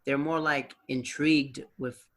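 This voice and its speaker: Bright-voiced man asking eager questions. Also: medium pitch, steady tone, measured pace. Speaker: podcast host. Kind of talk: casual interview. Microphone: video call.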